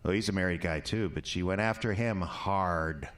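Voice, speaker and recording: Bitter, drunken ex-husband for audio drama: low voice, crude, rambling, menacing. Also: male voice, normal speed, a bit bright. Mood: bitter, confiding.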